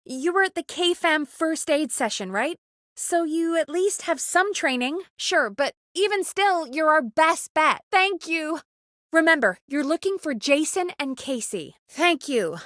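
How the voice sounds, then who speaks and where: A woman's voice: sits high, lively intonation, measured pace, fairly bright tone, no echo, clean studio sound. A woman playing an earnest, urgent radio producer in a video game, conversational and pressing in naturalistic dialogue. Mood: concerned, focused.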